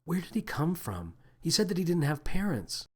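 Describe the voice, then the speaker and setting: Man voicing an eager young girl, pitched up. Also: big pitch swings, normal speed, a little mellow, slightly rough. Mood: curious. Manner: blurting, questioning. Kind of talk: audio story character voice.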